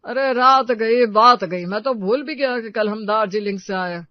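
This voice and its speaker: A man's voice: high-pitched, measured pace, dry recording, muffled recording. An actor playing a fussy family man in a cartoon story. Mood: weary.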